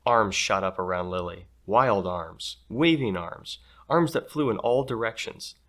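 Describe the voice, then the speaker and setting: A man reading a children's story aloud in an expressive, playful way. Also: on the low side, unhurried. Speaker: storybook reader.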